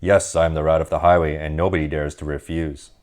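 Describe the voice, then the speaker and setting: Storybook villain: a greedy swaggering rat robber, bellowing, deep, read by a man. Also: flat delivery, fairly quick, darkish tone. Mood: boastful. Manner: boastful, bellowing demands.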